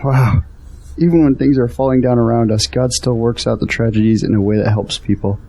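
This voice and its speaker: A man plays an earnest, devout son in an audio drama. His voice is medium in pitch, dark and soft-edged with a husky grain, and his delivery is hopeful and sincere. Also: fairly even intonation, a little fast, lightly acted. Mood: earnest, marvelling.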